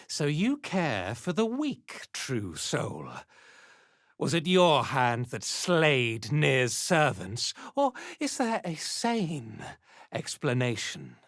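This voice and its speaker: A haughty male dark elf zealot from a video game, imperious and menacing. He speaks slowly and deliberately, with pitch that swings theatrically, in a very dry, close recording. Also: huge pitch swings, no room sound, exaggerated voice. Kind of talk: video game character acting.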